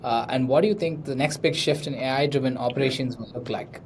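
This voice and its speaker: A man hosting an interview and putting questions to a guest. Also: fast talker. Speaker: interviewer, host. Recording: noisy with low background rumble.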